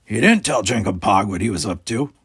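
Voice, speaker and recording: A man's voice: on the low side, expressive, brisk, a bit bright, slightly rough, dry recording, clean studio sound, full character voice. A man voicing a frantic, worried young male alien engineer from a storybook, who speaks of himself in the third person. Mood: worried, uneasy.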